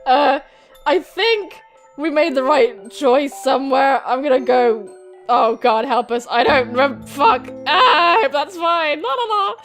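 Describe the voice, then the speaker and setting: A young woman hosting a game playthrough, excitable and giggly, switching between reading aloud and reacting. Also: sits high, unhurried, soft background music. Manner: excitable, squealing, giggly, swearing. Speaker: gaming video host.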